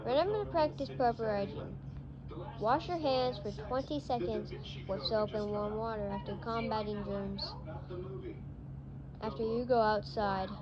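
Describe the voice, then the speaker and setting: A woman reading a scripted emergency announcement in an amateur, halting way, stumbling over the words. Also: on the high side. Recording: dull lo-fi audio with crowd noise.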